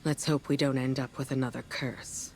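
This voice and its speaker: A woman's voice: a bass voice, fairly even intonation, normal speed, darkish tone. Game casting: pragmatic, wary female officer, wry and businesslike in mission briefings, faint ambient noise behind. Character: dry, pragmatic, wary female military commander. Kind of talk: video game mission dialogue.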